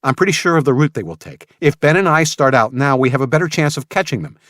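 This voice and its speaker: A man's voice: mid-range voice, lively intonation, fast, a bit bright, no room sound, clean studio sound, voice pushed up, close to an everyday voice. A man voicing a tense, impatient armed father in an audiobook, speaking with urgent insistence. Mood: urgent, determined.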